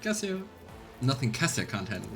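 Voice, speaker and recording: A man's voice: mid-range voice, big pitch swings, moderate pace, creaky, over music. A man streaming a let's play, giving casual commentary and reading game narration aloud.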